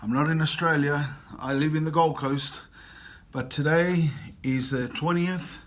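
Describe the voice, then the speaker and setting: A man's voice: on the high side, fairly even intonation, unhurried, fairly bright tone. A man in his fifties from Chile, giving an earnest motivational talk and repeating phrases for emphasis. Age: 50s. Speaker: motivational coach. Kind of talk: personal motivational talk to camera. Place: travelling abroad.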